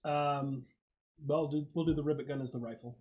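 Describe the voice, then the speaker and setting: A man's voice: on the high side, fairly even intonation, brisk, dull audio, a little hiss or hum. Guy running a tabletop game, casually explaining the rules, pretty easygoing. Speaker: game master.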